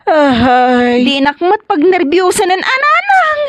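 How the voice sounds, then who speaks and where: Lady acting a dramatic complaining sick mom, ilocano accent, high pitched, drawn out, very up and down. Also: huge pitch swings, slow pace, no room sound, full character voice. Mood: fretful, suffering.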